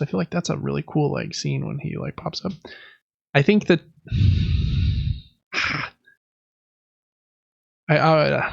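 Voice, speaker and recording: Higher-voiced man with a creaky edge. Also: dull audio. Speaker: podcast host. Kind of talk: casual chat about video games.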